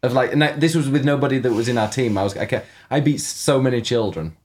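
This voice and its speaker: A man co-hosting a casual podcast, slightly hoarse from coughing, rambling and teasing, often cutting himself off mid-sentence. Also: medium pitch, fast, a little mellow. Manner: teasing, rambling, self-interrupting. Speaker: podcast co-host. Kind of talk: casual chat.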